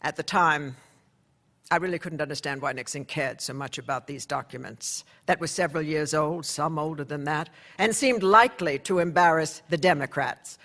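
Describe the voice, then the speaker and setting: Male narrator, first-person newsroom story, measured and conversational, fairly high voice. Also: a bit bright. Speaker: narrator, newspaper insider.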